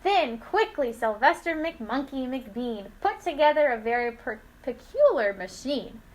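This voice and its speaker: High-voiced woman narrating a storybook. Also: sing-song, measured pace. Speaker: teacher reading aloud. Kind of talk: children's storybook read-aloud.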